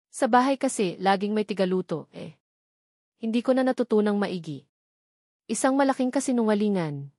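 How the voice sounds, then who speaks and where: Brief: woman, mid-pitched voice, expressive first-person story narrator, dry close mic. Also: dry recording.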